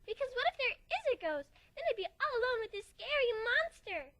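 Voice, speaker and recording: A squeaky, sing-song pony girl, bursting with bubbly excitement and teasing giggles. Her voice leaps very high and swoops wildly, bright and sharp, recorded close and dry with no room around it. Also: fairly quick, no room sound, exaggerated voice. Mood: bubbly, excited. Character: cheerful pegasus mare, bubbly, turns demented. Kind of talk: amateur dramatic story reading.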